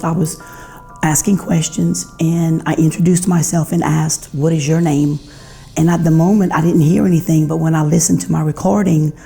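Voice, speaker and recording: A woman's voice: low-pitched, vocal fry, dark tone. A woman paranormal investigator recounting a personal experience.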